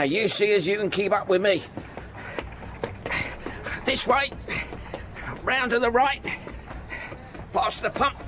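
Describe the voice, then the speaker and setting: A man's voice: extremely high pitch, background noise. An actor playing a kindly, poor old ticket porter in a radio drama, stammering and repeating himself as he fusses. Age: older.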